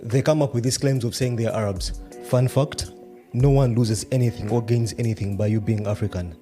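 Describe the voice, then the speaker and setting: A man's voice: medium pitch, steady tone, normal speed. A man in a commentary clip, speaking in a scolding, dismissive way. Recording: voice over clear music bed.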